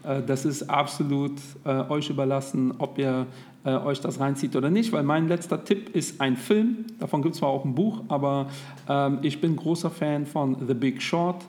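A man in his thirties co-hosting a casual podcast, talking loosely and filling pauses with lots of hesitations. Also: mid-range voice, steady tone, darkish tone. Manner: chatty, with many hesitations. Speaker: podcast co-host.

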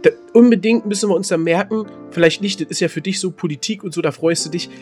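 A man's voice: high voice, fast, darkish tone, soft background music. A man co-hosting a casual podcast, joking around and breaking into sung snippets. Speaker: podcast co-host. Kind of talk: casual chat.

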